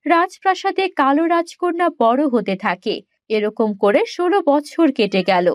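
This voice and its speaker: A woman's voice: high-pitched, very animated, normal speed, no echo. A woman reading scripted fairy-tale narration as a storyteller. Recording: music behind the voice. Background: music.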